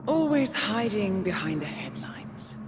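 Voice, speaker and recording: A woman playing a composed, calculating handler in a cinematic video game, grave and regretful, speaking in a measured, poised way. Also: medium pitch, very animated, noisy background. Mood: taunting. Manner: measured, deliberate, poised delivery. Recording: loud music behind the voice.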